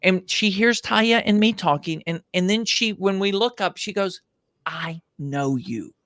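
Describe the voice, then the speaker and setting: A man with a high voice for a man, telling stories as an interview guest with emphatic, animated delivery and frequent restarts. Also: a little slow, no room sound, studio quality. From United States. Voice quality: higher-pitched male voice. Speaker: podcast guest. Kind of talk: enthusiastic interview storytelling.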